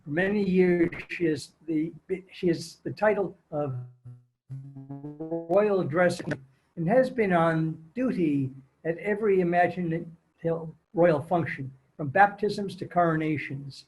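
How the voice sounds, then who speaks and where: A man's voice: a little high, a little slow, darkish tone, close to an everyday voice, rough recording. A man playing a courteous, formal old-time radio host in an amateur table read. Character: formal male 1930s radio host and announcer.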